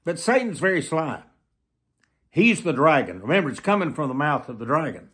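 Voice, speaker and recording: An elderly man preaching to the camera in an emphatic, deliberate manner, often repeating phrases for weight. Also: a little high, big pitch swings, a bit bright, husky. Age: 70s. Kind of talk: sermon to camera. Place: small plain room indoors.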